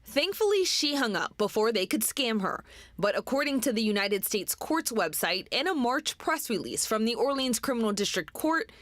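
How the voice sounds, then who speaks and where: A woman's voice: on the high side, big pitch swings, moderate pace, fairly bright tone. A woman working as a TV news reporter, delivering a scripted report.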